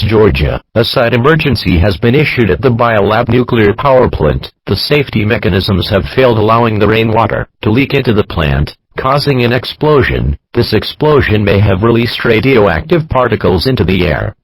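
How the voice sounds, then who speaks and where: A man's synthetic voice reading a scripted emergency broadcast announcement in a formal, flat manner. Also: fairly low pitch, husky, dry recording, rough recording.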